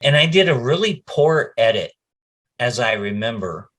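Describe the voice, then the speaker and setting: A man's voice: medium pitch, very animated, moderate pace, fairly bright tone. A middle-aged man, a voice actor, telling anecdotes casually in an interview and acting out bits of quoted speech as he goes.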